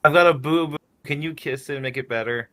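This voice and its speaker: A man's voice: a little high, quick pace, pushed and bright. A man chatting casually on a podcast call in a brash, emphatic, sweary way. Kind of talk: casual chat.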